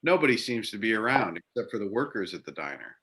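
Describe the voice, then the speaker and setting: Forward bright male voice, slightly deep, narrator, scripted reading, stage directions. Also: sing-song, quick pace.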